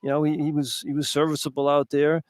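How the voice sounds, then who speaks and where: A man's voice: on the high side, completely flat delivery, quick pace, no room sound, studio quality. A middle-aged or older man working as a radio color analyst, talking through sports in a blunt, colloquial, emphatic way. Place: indoor arena broadcast position.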